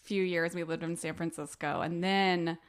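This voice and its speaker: A woman's voice: on the low side, steady tone, moderate pace. An American woman co-hosting a book podcast in casual chat, informal and prone to giggling. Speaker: podcast co-host.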